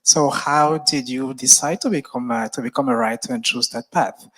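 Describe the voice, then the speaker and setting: A man from Cameroon speaks up from the audience at a live event. He is courteous and slightly halting as he thanks the hosts and puts his question to the guest, in a mid-pitched voice. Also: a bit bright. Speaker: audience member asking a question.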